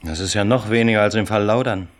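A deep-voiced chief inspector acted by a man in a radio crime play. He is curt and commanding, with a gruff, sardonic manner and diction. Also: fast, lightly acted. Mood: frustrated, sardonic. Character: gruff, pressured male chief police inspector leading murder inquiry.

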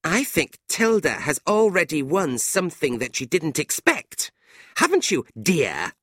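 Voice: medium pitch, sing-song, a little slow, husky, no room sound, studio quality, voice pushed up. A narrator voicing a jealous, spiteful young woman in a storybook, bitter and dramatic. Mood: nasty.

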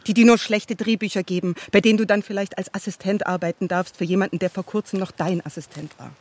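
An actor playing a bitter, sceptical spouse in a radio drama, speaking in a probing, sardonic, measured way. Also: male voice, sits high, fairly even intonation. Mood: accusing. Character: bitter, sceptical spouse of a vain film director. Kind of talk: German radio drama acting.

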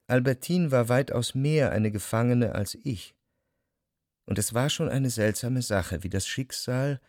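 An actor playing an indecisive, brooding young man, narrating a literary radio drama in a reflective, measured way. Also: medium pitch, a little mellow, no room sound, studio quality, close to an everyday voice. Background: instrumental music.

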